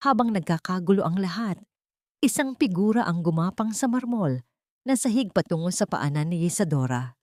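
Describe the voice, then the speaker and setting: A woman's voice: fairly low pitch, a little mellow, no echo, studio quality. A woman with a Filipino accent narrating a scripted dramatic story as an audiobook narrator.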